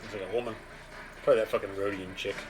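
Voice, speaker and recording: A man with a fairly low voice hosts a casual reaction to a TV show. He is blunt and profane, and loud soundtrack music plays behind his remarks. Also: steady tone, racing, rough recording. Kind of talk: casual commentary over a TV show.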